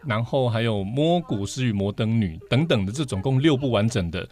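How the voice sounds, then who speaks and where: Fast-talking Taiwanese man chatting. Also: mid-range voice, expressive, a little mellow. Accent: Taiwanese Mandarin. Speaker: guest, vinyl record collector. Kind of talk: casual podcast conversation.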